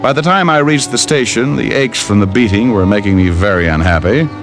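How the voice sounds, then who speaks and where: An American actor playing a wisecracking, tough private detective in an old-time radio drama, quipping in a wry, deadpan way as he narrates and trades lines. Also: on the low side, a little fast. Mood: brisk, matter-of-fact. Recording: old radio recording with music.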